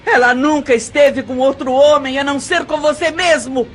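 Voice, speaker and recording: High-voiced outraged Brazilian wife played by a woman. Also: fairly even intonation, moderate pace, lightly acted. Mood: furious, accusing. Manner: theatrical, accusing, emphatic. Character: outraged, anguished middle-aged wife confronting her husband. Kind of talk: audio drama acting.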